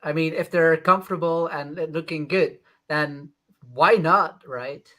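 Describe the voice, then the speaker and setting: A man's voice: a little high, steady tone, measured pace, bright, forceful tone. A man co-hosting a casual chat in a jokey, agreeable manner. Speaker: co-host.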